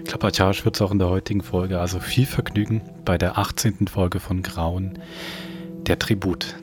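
Deep-voiced male podcast host over music. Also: fairly even intonation, normal speed. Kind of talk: scripted podcast introduction with some chat.

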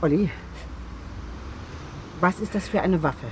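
An actress playing a tough, insistent detective agency boss in a radio crime drama. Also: female voice, fairly low pitch, slow, noisy background. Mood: agitated, demanding. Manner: commanding, insistent.

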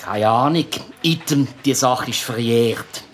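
A man's voice: medium pitch, measured pace, natural voice. A man playing a reluctant, matter-of-fact police officer with a Swiss accent in a children's radio play. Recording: faint background noise and ambience.

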